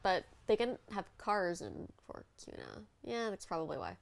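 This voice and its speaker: A woman's voice: on the low side, huge pitch swings, normal speed, vocal fry. A woman with a lingering cold, chatting and teasing while guiding her husband through a video game. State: sniffly with a cold. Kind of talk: casual let's-play chat.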